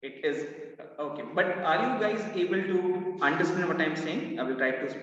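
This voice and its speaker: A man with an Indian accent teaching a live online law class, with a loud voice, mixing Hindi phrases in. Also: a little high, moderate pace. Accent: Indian English. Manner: lively, mixes in Hindi phrases, reads out student answers. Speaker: law entrance mentor. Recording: echoey, roomy, distant, dull live-class audio.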